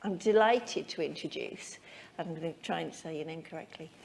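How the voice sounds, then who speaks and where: A woman's voice: low voice, normal speed, creaky, a little mellow, a little hiss or hum. A woman chairing a conference, introducing the next speaker in a friendly, apologetic way. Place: conference hall.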